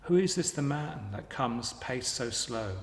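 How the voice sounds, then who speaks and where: A man recites rhymed poetry at a slow, deliberate pace. His voice sits at a middling pitch. The room rings around him with long, hall-like echo. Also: lively intonation. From England. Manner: measured, deliberate delivery of rhymed verse. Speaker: poetry reader. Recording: echoey, reverberant hall.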